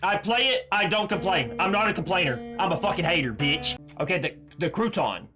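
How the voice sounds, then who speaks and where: A man's voice: sits high, lively intonation, a little fast, music in the background. A young man doing casual, profane game commentary as a streamer, slangy and repetitive, prone to ranting.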